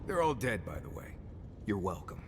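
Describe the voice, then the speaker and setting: An actor playing a gruff, sardonic adventurer companion, blunt and wry, in a video game cutscene. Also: male voice, very animated, moderate pace. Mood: dry, smug. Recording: clear ambient rumble behind.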